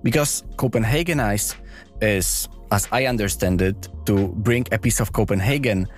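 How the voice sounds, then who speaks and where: A man's voice: fairly low pitch, normal speed. A man hosting a podcast, speaking English as a with as his first, introducing and asking questions in earnest, slightly formal phrasing with hesitations. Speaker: podcast host. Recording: faint music underneath.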